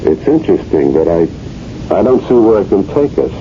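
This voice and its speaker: Low-voiced, weary police lieutenant. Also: male voice, very animated, brisk. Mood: terse, probing. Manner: clipped, laconic, world-weary. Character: weary, terse male police lieutenant, evasive husband. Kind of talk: 1970s radio drama acting. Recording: muffled, noisy old radio recording.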